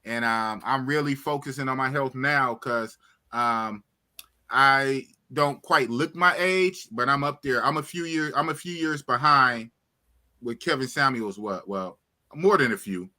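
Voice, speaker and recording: A man in his fifties hosting a live talk stream, chatting casually and shouting out viewers by name. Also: medium pitch, a little slow. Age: 50s. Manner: animated, shouts out viewers, repeats himself. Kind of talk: casual livestream chat.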